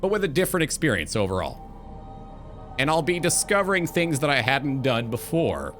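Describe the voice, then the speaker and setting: A man's voice: medium pitch, sing-song, normal speed, a bit bright. A man in his twenties hosting a livestream, talking in a casual, emphatic, rambling way with some swearing. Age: 20s. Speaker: streamer and talk show host. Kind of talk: casual livestream rant about video games. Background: background music.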